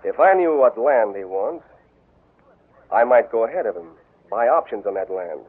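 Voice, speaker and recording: A sly, silky card sharp who toys with his victims. His voice sits low and husky, coming through a tinny old radio broadcast. Every line drips with mocking courtesy. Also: sing-song, moderate pace. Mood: scheming. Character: smooth scheming male gambler blackmailer. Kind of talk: 1940s radio acting.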